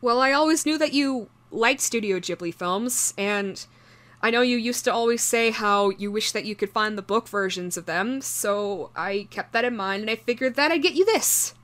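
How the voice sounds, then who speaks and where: A woman voicing an obsessive shapeshifting doppelganger posing as someone's ex, speaking haltingly in an intimate horror audio roleplay. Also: fairly high pitch, lively intonation. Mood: nervous, ingratiating. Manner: halting, stammering, soft-spoken in menace.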